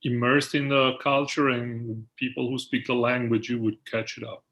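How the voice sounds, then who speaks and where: Italian-accented man interviewing, halting. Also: medium pitch, level tone, a bit bright, muffled recording. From Florence, Italy. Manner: hesitant, with many "uh" fillers. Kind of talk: casual conversational interview.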